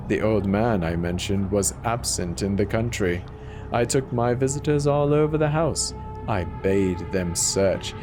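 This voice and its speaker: A man voicing a guilt-racked, paranoid murderer in a theatrical, deliberate dramatic horror reading with drawn-out pauses. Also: mid-range voice. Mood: smug, confident. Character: guilt-racked madman murderer confessing in growing paranoid agitation. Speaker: storyteller.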